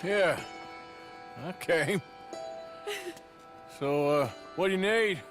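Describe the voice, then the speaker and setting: An actor playing a rugged, sardonic outlaw with a gruff American drawl, laconic and dryly intimidating, in a video game cutscene. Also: male voice, fairly high pitch, extremely slow. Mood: easygoing. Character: rugged male outlaw, sardonic, coolly menacing hired gun. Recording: music behind the voice.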